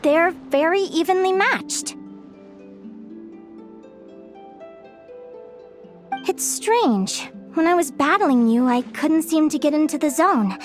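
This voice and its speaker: A young fae explorer from a video game. Her voice sits high and is expressive and full of curiosity, with an adventurous streak. Faint music plays behind her. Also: a little slow. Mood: friendly, curious. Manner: eager, expressive, wistful when reflective. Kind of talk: video game character voice acting.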